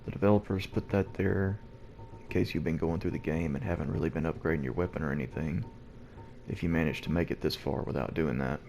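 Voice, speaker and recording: Very deep, gravelly, hushed male gamer. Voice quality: deep and low. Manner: quiet, hushed so as not to wake the house. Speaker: let's play host. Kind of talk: gameplay commentary. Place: at home early in the morning. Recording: noisy background hiss.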